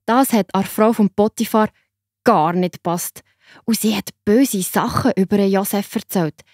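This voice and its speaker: A woman with a Swiss German accent narrating a story for children in a simple, expressive way. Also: mid-range voice, normal speed, dry recording, studio quality.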